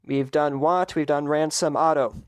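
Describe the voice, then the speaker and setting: A man's voice: fairly high pitch, fairly even intonation, moderate pace, clean tone, no echo. A man narrating as game master of a tabletop role-playing game, explaining rules and scenes in an easygoing way.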